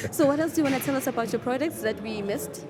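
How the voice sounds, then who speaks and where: Playful woman interviewer, higher voice, crowd behind. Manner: chatty, jokey, giggly. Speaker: show host, interviewer. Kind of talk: casual, playful on-location interview.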